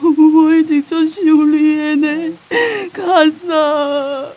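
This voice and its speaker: A woman's voice: high-pitched, flat delivery, drawn-out words, warm tone. An actress playing a confused old grandmother with a Taiwanese accent, plaintive and pleading, in a radio drama. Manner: plaintive, calling out in Taiwanese Hokkien.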